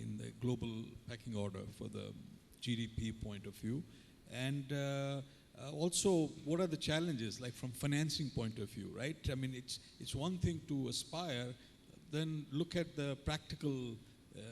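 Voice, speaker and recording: A man moderates a conference panel, introducing speakers and posing questions. He stops and restarts often, with plenty of fillers. A long hall reverb rings around his medium-pitched voice. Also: vocal fry, a little mellow, a little hiss or hum. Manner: halting, many fillers and restarts.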